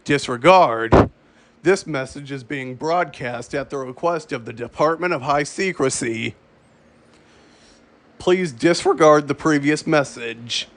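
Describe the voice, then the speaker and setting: A man reading a scripted mock emergency broadcast as an official announcer, halting and repeating phrases as he goes. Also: fairly high pitch, a bit bright, a little hiss or hum.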